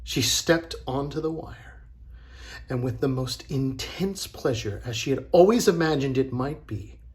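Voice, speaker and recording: A man reading a storybook aloud for children as a teacher, dramatic and deliberate. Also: mid-range voice.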